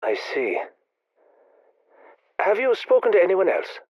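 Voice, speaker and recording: An actor playing a grieving, obsessive male scientist, speaking in a measured, brooding, confessional way in video game audio logs. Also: high voice, huge pitch swings, dry recording, highs cut off, heavily acted.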